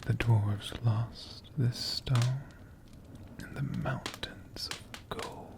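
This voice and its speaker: Man, whispered ASMR storytelling, very slow, gravelly fry, playful asides. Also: medium pitch, steady tone. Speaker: ASMR creator in a friendly dragon persona.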